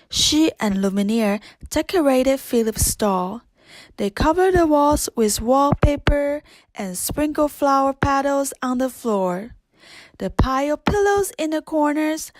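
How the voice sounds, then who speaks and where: A woman reading a children's read-along storybook as narrator, in an expressive storytelling manner. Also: sits high, no room sound.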